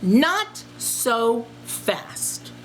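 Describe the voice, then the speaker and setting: A woman voicing a determined, self-reliant little girl from a children's storybook, speaking in a deliberate, emphatic way. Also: fairly high pitch, sing-song, a little hiss or hum, pitched above the performer's own voice, full character voice, rough recording. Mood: indignant. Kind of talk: children's storybook read-aloud.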